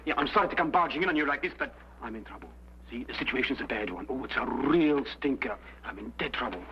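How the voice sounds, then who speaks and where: An actor playing a chatty Cockney escape artist on the run, rambling and pleading in an old detective drama. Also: male voice, mid-range voice, expressive, fast talker, vocal fry, a little hiss or hum. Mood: frantic pleading. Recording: dull, humming old broadcast recording.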